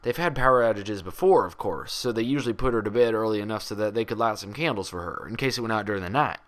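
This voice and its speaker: A man's voice: lowish voice, quick pace, close to an everyday voice. A man voicing a frank, proud, friendly female pony doctor with a folksy way of talking, in a story reading. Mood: frank, explaining.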